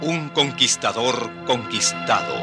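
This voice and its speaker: A man's voice: mid-range voice, expressive, slow pace, loud music behind the voice. A man reading a scripted announcement for a radio drama as its announcer.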